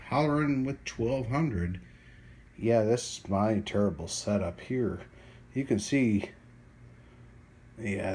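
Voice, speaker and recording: A middle-aged man giving casual, hands-on vlog narration in a rambling, colloquial, self-deprecating way. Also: fairly low pitch. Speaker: radio hobbyist making a video. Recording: clear background noise and ambience.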